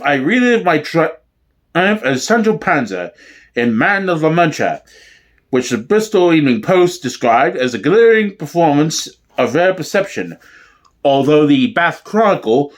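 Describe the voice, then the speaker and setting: A man's voice: a little high, sing-song, a little slow. A man playing a pompous, theatrical police superintendent giving formal, self-important testimony in a comedy sketch. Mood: proud. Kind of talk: mock-documentary testimony.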